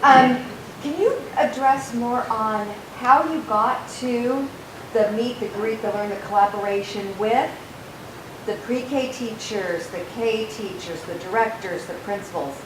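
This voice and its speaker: A woman in the audience projects a loud voice to ask a question. The room echoes around her, and a rumbling noise runs underneath. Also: unhurried. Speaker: audience member asking a question. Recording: echoey room, noisy, mic far off.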